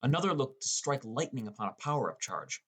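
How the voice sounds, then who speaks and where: A young man voices a teenage boy, new to gaming, in an audiobook drama. He narrates in the first person with a plain, understated and slightly wry delivery. The pitch sits in an ordinary middle range. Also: big pitch swings, quick pace, a bit bright, husky. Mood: narrating.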